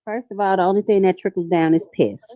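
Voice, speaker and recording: A woman's voice: mid-range voice, steady tone, fast, dull audio. A woman co-hosting a casual chat with crude, sassy jabs.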